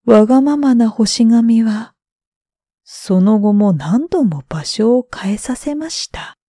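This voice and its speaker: ゆったり息混じりに語る女性の朗読. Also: female voice, a little high, lively intonation, no echo, studio quality. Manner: gentle, drawn-out, breathy phrase endings. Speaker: storyteller, freelance announcer. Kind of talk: bedtime story narration for sleep.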